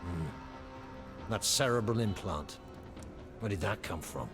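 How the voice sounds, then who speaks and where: A gruff, distrustful bounty hunter with an extremely low voice. He speaks in terse, blunt, hard-boiled phrases. It is video game cutscene acting over clear background music. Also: animated, measured pace. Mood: suspicious.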